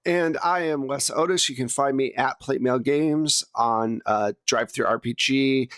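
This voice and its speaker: A man's voice: mid-range voice, a little slow, fairly bright tone, dry recording, studio quality. A man speaking casually as a podcast host and game master, chatting with his players. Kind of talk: casual wrap-up chat with players.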